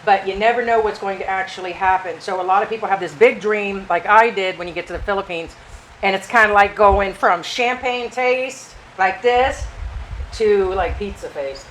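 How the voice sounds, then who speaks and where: A woman's voice: medium pitch, normal speed, a bit bright, low-quality recording. Older american lady chatting, hops between points, bit of background noise. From United States. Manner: chatty, talks over her partner, jumps between points. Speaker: co-host. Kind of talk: casual chat.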